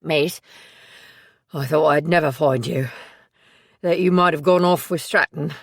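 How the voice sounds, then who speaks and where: A woman's voice: low-pitched, measured pace, vocal fry, no room sound, studio quality, heavily acted. A woman voicing an urgent young man. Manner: urgent, breathless, blunt. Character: young man, anxious loyal working-class assistant.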